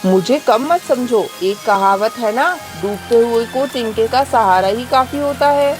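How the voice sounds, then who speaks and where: A woman as a high-voiced dramatic cartoon crow lady. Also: measured pace, faint music behind, lightly acted. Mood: reassuring. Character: haughty, selfish female crow, later a remorseful heron. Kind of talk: children's animated moral story acting.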